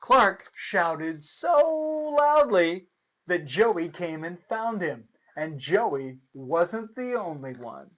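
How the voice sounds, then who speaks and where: A man's voice: sits high, very animated, drawn-out words, a bit bright, muffled recording. A man presenting and reading aloud for children as a storyteller, playful and theatrical.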